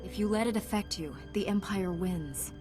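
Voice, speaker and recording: A woman playing a calm, resolute knight in a video game, speaking in a composed, earnest and formal way. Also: medium pitch, moderate pace, over music, natural voice. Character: calm, resolute female Jedi knight hero. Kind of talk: video game hero dialogue.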